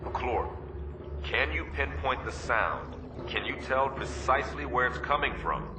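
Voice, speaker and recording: A man's voice: mid-range voice, measured pace, background noise, lightly acted. A man playing an urgent, commanding Navy officer in a radio drama, insistent and authoritative. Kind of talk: radio theatre acting. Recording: echoey, distant, loud music behind.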